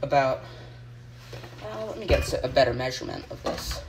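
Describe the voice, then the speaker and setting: Teen boy host, deadpan joke cooking show, phone-filmed in a kitchen, hissy audio. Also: mid-range voice, moderate pace. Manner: deadpan, rambling, with ums and mock instructions. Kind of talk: joking mock cooking show. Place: home kitchen, indoors. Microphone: phone camera held by a friend. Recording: noisy home phone video.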